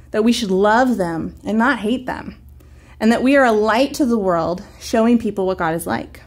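A woman in her thirties teaching a children's church lesson to camera, gentle, clear and encouraging. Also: on the high side.

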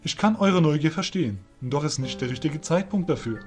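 A man's voice: fairly high pitch, expressive, fairly quick, darkish tone, faint music behind, close to an everyday voice. A man voicing a courteous but commanding fairy general, speaking formally and with authority.

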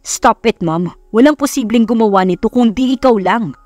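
A woman's voice: on the high side, moderate pace, a little mellow, dry recording, faint music behind, natural voice. A woman voicing an irritated, incredulous rich man in his late twenties in an audio romance story, curt and indignant.

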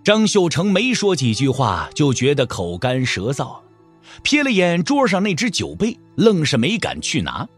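A man's voice: fairly high pitch, huge pitch swings, normal speed, breathy edge, faint music behind. A man narrating a novel as a storyteller in a dramatic, scripted audio drama style.

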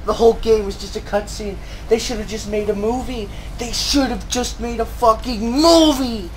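A woman's voice: a little high, moderate pace, a little mellow, slight background noise, rough recording. A woman gaming creator delivering an angry, emphatic, repetitive rant full of swearing over gameplay. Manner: exasperated, profane, emphatic, repetitive.

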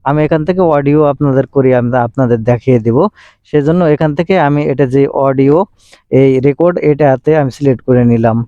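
Male tech tutorial narrator, soft mellow tone, dry close sound, instructional and repetitive delivery. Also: mid-range voice, fairly quick, no echo, lo-fi sound. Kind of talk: step-by-step screen tutorial narration.

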